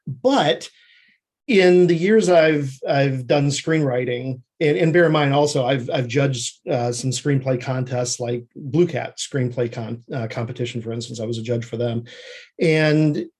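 A man speaking as an interview guest, explaining his craft in a halting, explanatory way. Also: mid-range voice, a little mellow, slightly rough. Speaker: guest screenwriter.

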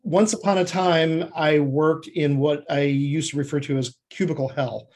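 A man answering as an interview guest in a conversational, reflective way, haltingly thinking aloud. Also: on the high side, steady tone, darkish tone. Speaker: interview guest, screenwriter and TV creative services producer.

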